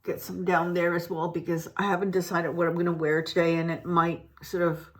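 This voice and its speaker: A woman's voice: fairly low pitch, little pitch movement, fairly quick. A woman who grew up in Malaysia, chatting to camera at home in a rambling, casual way with many restarts. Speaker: beauty vlogger. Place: home, indoors.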